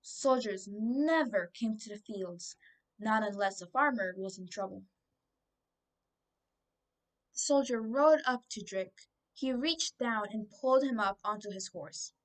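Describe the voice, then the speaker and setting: A woman's voice: medium pitch, a little slow, distant mic, poor audio. A twelve-year-old girl reading a children's storybook aloud as scripted narration. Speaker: book reader.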